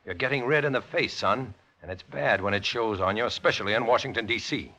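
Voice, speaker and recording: An actor playing a tough, wry undercover agent in a 1950s radio noir drama, with clipped delivery. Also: male voice, mid-range voice, fairly quick, natural voice. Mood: scornful, tough. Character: hard-boiled male undercover agent posing as communist. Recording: band-limited old radio recording with music.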